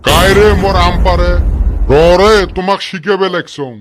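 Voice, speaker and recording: A man's voice: sits high, normal speed, very noisy, natural voice. A man playing an angry village uncle who guards his mango tree in a comedy cartoon, scolding in the Rajbongshi dialect of northern Bengal. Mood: angry, threatening. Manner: scolding, threatening.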